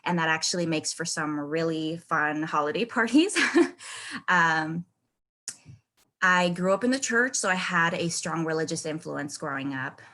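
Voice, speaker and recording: A woman's voice: low voice, unhurried, pushed and bright. A woman from California giving her first personal speech at an online club, friendly and candid with frequent ums. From Oceanside, California. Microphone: video call.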